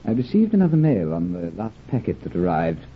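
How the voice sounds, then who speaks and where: An actor playing a pompous, scheming colonial governor in an old radio drama, formal and authoritative. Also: male voice, fairly low pitch, wildly swooping pitch, a little fast. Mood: imperious. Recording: muffled phone-like old radio recording, noisy.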